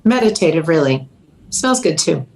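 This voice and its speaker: A woman's voice: low-pitched, very animated, moderate pace, vocal fry, a little mellow, heavily acted, rough recording. A woman playing a sardonic, easily flustered undercover agent at a screenplay table read. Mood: awkward, flustered.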